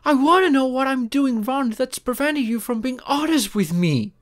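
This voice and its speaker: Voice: high voice, lively intonation, normal speed. A voice actor playing an upset, caring live-in partner in an intimate audio roleplay, emotional and pleading. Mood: angry, hurt. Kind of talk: intimate ASMR roleplay voice acting, one side of dialogue.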